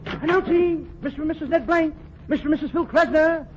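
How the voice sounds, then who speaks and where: A man's voice: extremely high pitch, brisk. A man playing a childlike, hapless wisecracker with mock-formal airs in a 1940s radio comedy. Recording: dull lo-fi old radio recording.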